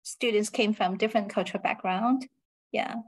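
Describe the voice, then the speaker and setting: A woman's voice: medium pitch, moderate pace. A woman whose first language is Chinese, a teacher chatting casually in an online discussion. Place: indoors, on a video call.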